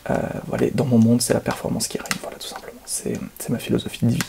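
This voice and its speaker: A man playing a boastful, excuse-making video game speedrunner in an ASMR roleplay, speaking softly and half-whispered with smug, hesitant phrasing. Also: medium pitch, monotone, fast talker, background noise. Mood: smug boasting. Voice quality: soft, breathy, half-whispered, creaky. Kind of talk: ASMR roleplay, soft gaming commentary.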